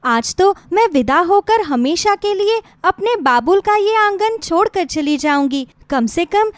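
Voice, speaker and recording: A woman's voice: very high voice, expressive, unhurried, no echo, voice pushed up. A woman voicing an anxious young bride with a pleading, nervous, apologetic manner in a moral story. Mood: tearful pleading. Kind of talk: Hindi moral story acting.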